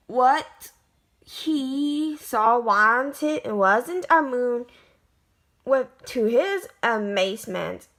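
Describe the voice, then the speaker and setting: High-pitched woman reading slowly and haltingly. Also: a bit bright. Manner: halting, stumbling word-by-word reading. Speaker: amateur story reader. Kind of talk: children's picture book read-aloud.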